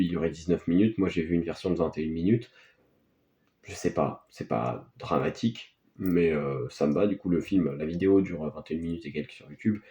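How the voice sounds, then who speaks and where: A man's voice: deep voice, mellow. A man gives casual, unscripted film commentary as a video host, in a hesitant, rambling, offhand way. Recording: echoey, roomy sound.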